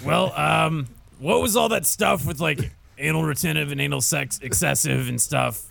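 A man playing an exasperated, embarrassed demon son with a gravelly voice, whiny and hesitant, in improvised comedy. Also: fairly high pitch, moderate pace, a bit bright, soft background music. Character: mortified, exasperated young demon son from hell.

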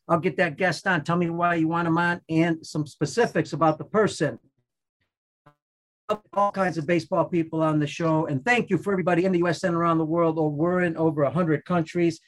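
A man hosts a podcast in a loose, upbeat way. He rambles and restarts his sentences. His voice sits high for a man. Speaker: podcast host. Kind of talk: casual chat.

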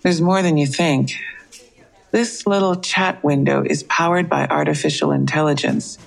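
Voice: mid-range voice, steady tone, moderate pace, vocal fry, rough recording. An actor playing a matter-of-fact, knowing police investigator in an audio crime drama.

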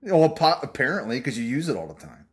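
Loud joking guy hosting a podcast, swears a lot, voice bouncing up and down. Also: medium pitch, sing-song, fast. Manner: loud, boisterous and teasing, swears freely. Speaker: podcast host. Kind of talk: casual banter.

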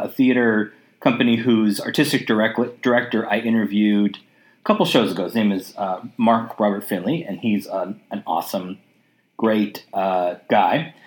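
A podcast host speaking at a mid-range pitch in a casual interview. Enthusiastic, often repeating and stumbling over words while thanking a guest and recommending shows.

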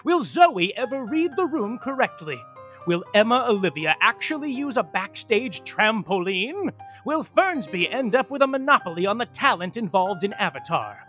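A man delivers comic scripted narration like a grandiose announcer. His voice is bright and swings widely in pitch. Music plays faintly behind him as he reads with theatrical flair. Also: big pitch swings, moderate pace.

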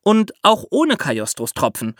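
A man hosting a podcast, explaining step by step in lively storytelling for children with theatrical emphasis. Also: high-pitched, normal speed, dry recording, studio quality.

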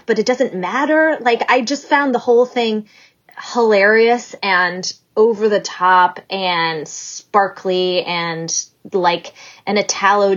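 A woman podcast guest talks with eager enthusiasm, full of fillers. Her pitch is mid-range. The sound is a little dull and lo-fi. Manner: enthusiastic, chatty, many fillers. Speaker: guest, staff writer. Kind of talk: casual chat.

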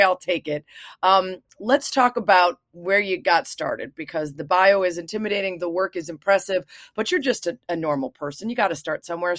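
A woman's voice: mid-range voice, a bit bright, no echo, studio quality. A woman hosting a podcast, chatting upbeat as she reads a listener review and interviews a guest. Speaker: podcast host.

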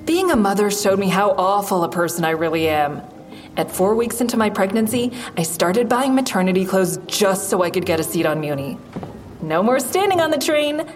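A woman's voice: mid-range voice, animated, moderate pace, natural voice. An actress playing a wry, grieving mother who does stand-up, telling her story candidly in an audio drama. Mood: wry, brash. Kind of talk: first-person audio drama narration. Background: music.

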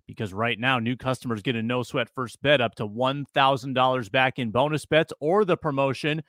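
Bright, upbeat American ad reader. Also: male voice, mid-range voice, steady tone, no room sound, studio quality. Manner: brisk, upbeat ad delivery. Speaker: co-host reading an ad. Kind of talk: read sponsor spot.

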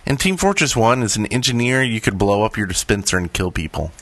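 A man with a very lively, swinging intonation co-hosts a casual podcast. He banters and teases, and the sound is close and dry with no room to it. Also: fairly low pitch, brisk, no room sound. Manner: joking, teasing. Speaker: podcast co-host.

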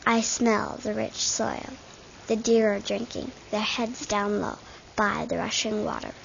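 A woman's voice: mid-range voice, steady tone, a little slow, vocal fry. A woman reading a poem aloud in a vivid, descriptive way. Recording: slightly dull recording with faint noise.

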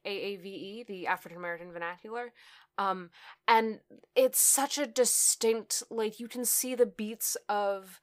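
A woman guest in a casual podcast interview who talks about her voice acting work, hesitant, with many fillers and restarts. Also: mid-range voice. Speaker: voice actor guest.

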